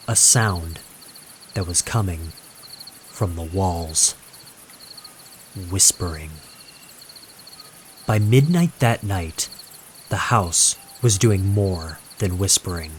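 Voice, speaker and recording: A man narrates a creepypasta in a fairly low register. His delivery is very slow and dramatic, leaving deliberate gaps to build suspense. Faint night ambience with insects sits behind the voice. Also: slight background noise. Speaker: horror story narrator.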